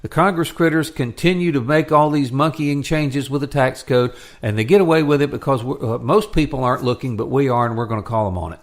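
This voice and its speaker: A man's voice: mid-range voice, brisk, hardly any pauses. An American man hosting a political talk radio show in a conversational, emphatic and opinionated way.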